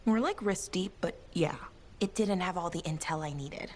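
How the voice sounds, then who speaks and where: A woman's voice: fairly low pitch, normal speed, a little hiss or hum, close to an everyday voice. A woman playing a spirited, cheeky young noblewoman adventurer in video game cutscene acting, with a breezy, impulsive, informal manner. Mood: sheepish, brisk.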